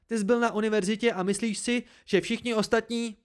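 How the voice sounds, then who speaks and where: A man voicing a proud, angry, sharp-tongued young woman in an audiobook story, with biting, indignant outbursts. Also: medium pitch, expressive, lightly acted. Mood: scornful, indignant.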